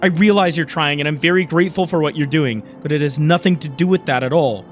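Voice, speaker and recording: A man's voice: a little high, quick pace, soft background music, voice pushed down, lightly acted. A man voicing a hurt, pleading young alien companion in an emotional story reading. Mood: earnest, hurt.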